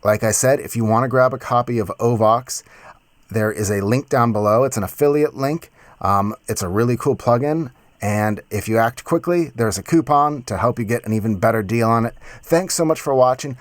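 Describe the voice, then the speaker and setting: A man in his thirties giving a casual, enthusiastic music software tutorial in a conversational way, close on a mic in a home studio. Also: medium pitch, moderate pace, no room sound. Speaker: music production tutorial host. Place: small home studio, indoors.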